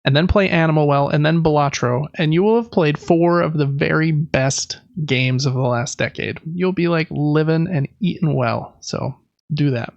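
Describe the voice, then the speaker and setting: A man talks casually as a podcast co-host, rambling and enthusiastic. His voice sits a little high with plenty of vocal fry. The sound is dry and close, slightly dull. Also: normal speed, no echo. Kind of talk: casual gaming podcast chat.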